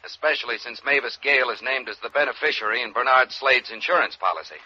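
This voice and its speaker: A man's voice: medium pitch, quick pace, very noisy, close to an everyday voice. An actor playing a businesslike male insurance agent in a 1950s radio drama, clipped and matter-of-fact. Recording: dull, raspy vintage radio recording.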